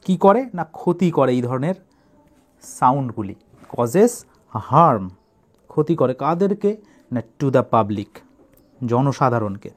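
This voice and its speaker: A man's voice: mid-range voice, sing-song, slow, long pauses. A man teaching English writing, dictating in short separated phrases and then explaining them.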